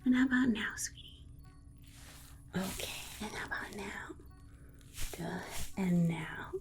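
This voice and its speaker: A woman's voice: medium pitch, slow pace, soft background music. A woman voicing a soothing, condescending female alien scientist in a whispered ASMR exam roleplay, coddling and reassuring with murmured asides.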